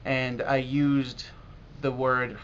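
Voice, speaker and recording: An American man talking casually and reflectively in a video blog as a language learner, halting now and then with pauses. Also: medium pitch, level tone, a bit bright. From United States. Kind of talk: casual talk to camera about learning. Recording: dull lo-fi audio with clear noise.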